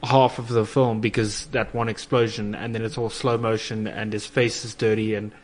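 A man's voice: lowish voice, level tone, normal speed, a little hiss or hum. Hesitant South African man chatting. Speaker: talk show co-host. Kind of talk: casual chat.

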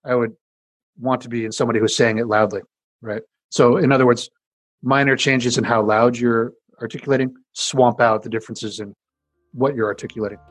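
A man with a deep voice, a scientist explaining his research in an interview in a casual way, with many fillers and restarts. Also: fairly even intonation, fairly quick, no echo, rough recording.

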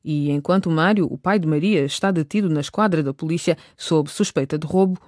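A woman's voice: low voice, fairly quick, darkish tone, no echo. Dry-recorded woman narrating radio drama.

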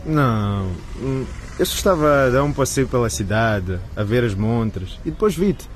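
A man's voice: medium pitch, expressive, normal speed, slight background noise, close to an everyday voice. A man playing an awkward, lovestruck young suitor in a radio drama, hesitant and defensive. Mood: flustered.

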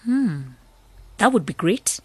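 A woman's voice: on the high side, sing-song, slow, noisy background. An actress playing a skeptical young woman who leads a village youth association, in a radio drama.

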